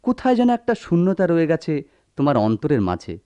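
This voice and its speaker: A suave suitor, swooping intonation. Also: male voice, a little high, very animated, fast talker, dark tone, no echo. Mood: romantic yearning. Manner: poetic, theatrical. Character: suave, flowery male suitor, a company manager. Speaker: radio drama actor. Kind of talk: melodramatic radio drama acting.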